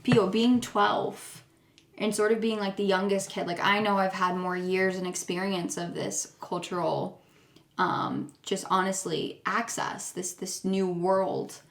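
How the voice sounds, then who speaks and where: A woman's voice: mid-range voice, level tone, unhurried, creaky. A young woman hosting a casual family podcast, chatty and asking her relatives leading questions. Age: young adult. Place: family home, around a table. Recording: echoey room.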